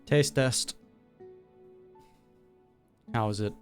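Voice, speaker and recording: A man's voice: mid-range voice, extremely slow, faint music behind. A young man giving casual, slangy reaction commentary on a video game as he plays. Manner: laid-back, slangy. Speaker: gaming reactor.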